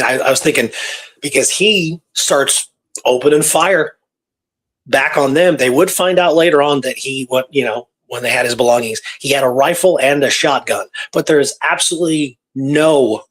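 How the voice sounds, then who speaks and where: A man's voice: medium pitch, normal speed, fairly bright tone, rough recording. Man telling a story in casual talk. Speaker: podcast host telling a true historical story.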